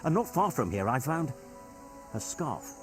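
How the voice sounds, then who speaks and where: Nervous medieval merchant dad, timid, hugely varied intonation, music underneath. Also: male voice, mid-range voice, big pitch swings, a little slow. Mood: worried, defensive. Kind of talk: naturalistic video game acting.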